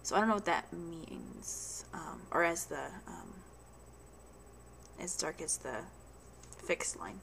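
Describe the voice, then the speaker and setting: A young woman talks to her camera at home, stuffy and congested with a head cold. Her voice sits a touch low with a gravelly fry, and she rambles with many ums and restarts. A faint hiss sits under the recording. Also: expressive, slow pace, pauses often, a bit bright. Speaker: vlogger. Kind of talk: casual video diary.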